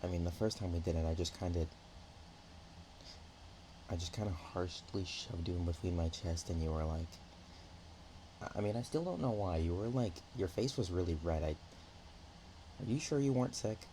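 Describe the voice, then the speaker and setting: A man voicing a shy, playful young dragon-dog girl in an ASMR roleplay, speaking softly and haltingly with naive affection. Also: low voice, animated, a little hiss or hum. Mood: bashful, naive. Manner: hesitant, halting, giggly, soft-spoken. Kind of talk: ASMR roleplay, one-sided intimate chat.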